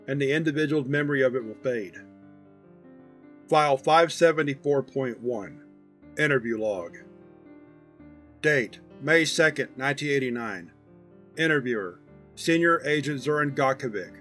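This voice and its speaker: A man reading fictional case files as a narrator, in a formal, measured reporting manner. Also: medium pitch, a bit bright. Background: guitar music.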